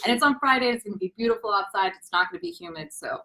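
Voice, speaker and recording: A woman's voice: medium pitch, rapid-fire, crowd noise, poor audio. A young woman interviewing a guest for a live online series, asking long, winding questions and affirming often. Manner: friendly, frequent affirmations, long multi-part questions. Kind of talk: casual live-stream interview.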